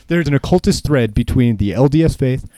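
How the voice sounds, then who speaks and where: Male co-host, American, medium pitch, highly animated, loose joking conversation. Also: no echo. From Utah, United States. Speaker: podcast co-host. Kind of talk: casual joking chat.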